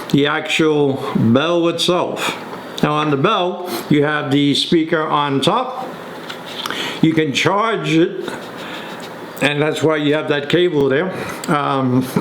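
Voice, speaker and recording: A man giving a casual, step-by-step product walkthrough in an instructional way. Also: on the high side. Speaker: product demonstrator. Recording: echoey room with faint noise.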